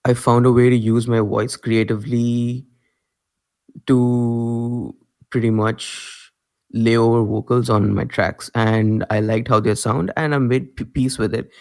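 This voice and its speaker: A young man with an Indian accent, a musician guest talking casually in an interview, relaxed and quick to laugh. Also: mid-range voice. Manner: relaxed, laughs easily, loose filler-heavy phrasing.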